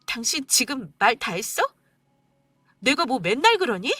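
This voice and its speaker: A woman's voice: big pitch swings, normal speed, fairly bright tone, no echo. A woman playing a hurt, indignant wife. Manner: tearful, indignant, voice breaking high. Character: hurt young wife pleading and arguing back.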